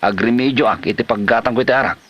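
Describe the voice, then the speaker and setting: An actor playing a lazy, sly husband in an Ilocano comedy drama, with an Ilocano accent and exaggerated, whining excuses. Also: male voice, mid-range voice, fast talker, lo-fi sound, lightly acted. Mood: placating.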